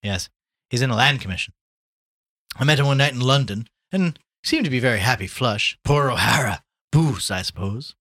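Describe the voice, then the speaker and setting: A man's voice: mid-range voice, lively intonation, normal speed, bright, forceful tone, no room sound, voice pushed up. Man voicing a shy little man, earnest, modest, storybook dialogue, dry close sound. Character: small, modest, serious, timid man in awe of friend.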